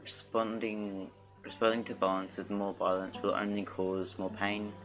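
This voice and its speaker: Man streaming a game, deep, monotone, halting read-aloud, faint music behind.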